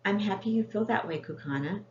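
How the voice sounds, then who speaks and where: A woman's voice: mid-range voice, a little fast, darkish tone, voice pushed up, natural voice. A woman voicing a shy, polite young girl earnestly in a children's storybook reading. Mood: pleased. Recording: echoey room, dull sound, faint noise.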